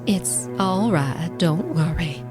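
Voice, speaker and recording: A woman's voice: lowish voice, lively intonation, slow pace. An actress in an audio drama playing a middle-aged wife and mother, weary and guarded, with a wry restraint. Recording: music under the dialogue.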